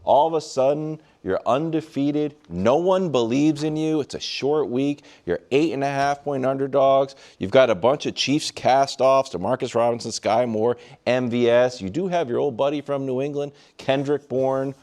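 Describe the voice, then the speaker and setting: A man hosting a sports panel show, talking in an animated sports-debate style and steering the discussion. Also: medium pitch, normal speed, hardly any pauses.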